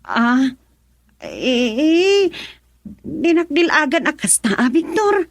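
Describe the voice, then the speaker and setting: Earnest Ilocano mother, middle-aged, fairly high voice, hesitant, self-sacrificing, radio drama, dry sound. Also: very animated, takes it slowly, creaky, dry recording, full character voice.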